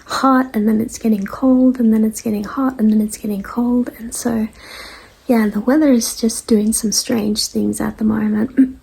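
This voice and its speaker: A woman with a dry, croaky voice, getting over a cold and clearing her throat, chats casually to followers as host of a weekly show in an unhurried, hesitant, rambling way. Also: fairly high pitch, fairly even intonation, warm tone, low-quality recording. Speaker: artist hosting a weekly message show. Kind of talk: casual vlog chat to followers.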